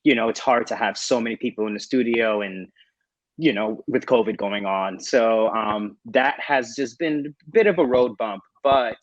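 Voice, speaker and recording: A man speaking as a panelist in casual conversation, halting as he searches for words. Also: mid-range voice, no echo. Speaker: panelist, director with acting background. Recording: dull, lo-fi microphone sound.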